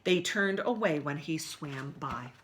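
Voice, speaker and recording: A woman reads a children's story as a library story time host. Her voice is bright and very animated, and she speaks directly to her young listeners in an expressive storytelling style. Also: low-pitched, a little slow. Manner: animated, asks listeners questions.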